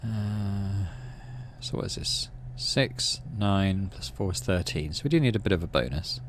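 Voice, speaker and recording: Low-voiced man with very lively delivery. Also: a little slow, a little hiss or hum. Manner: muttering, thinking aloud while playing. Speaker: gaming channel host. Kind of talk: casual game playthrough with read-aloud narration.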